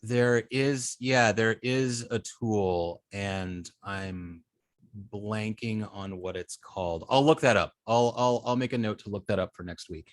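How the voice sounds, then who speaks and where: A man teaching a class informally, speaking in a halting way and often repeating words as he thinks. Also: lowish voice, dry recording. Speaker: web design class instructor. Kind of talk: informal online teaching and student check-ins.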